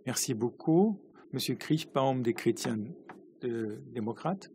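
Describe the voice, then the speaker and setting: A man doing simultaneous interpretation of parliamentary speeches, halting, with uneven pacing and frequent restarts. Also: mid-range voice, animated, a little mellow, a little hiss or hum.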